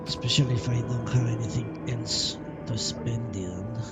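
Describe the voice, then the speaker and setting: A man's voice: medium pitch, fairly even intonation, moderate pace, far from the mic. A man doing casual live gaming commentary, muttering to himself as he thinks aloud. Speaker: game streamer. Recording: loud game music behind the voice. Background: loud video game music.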